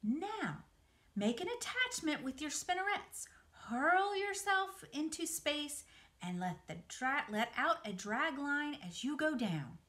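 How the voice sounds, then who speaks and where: A woman's voice: high voice, very animated, slow pace, fairly bright tone, voice pushed up, heavily acted. A woman voicing a clever, matter-of-fact female spider who teaches kindly and precisely in a children's storybook. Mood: coaching. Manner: precise, instructive.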